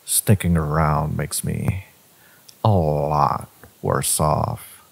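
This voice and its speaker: A man voicing a brooding, possessive villain devoted to a secret lover, in an intimate, intense dramatic audio roleplay, with slow, deliberate delivery and a husky, gravelly voice. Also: a bass voice, fairly even intonation, heavily acted.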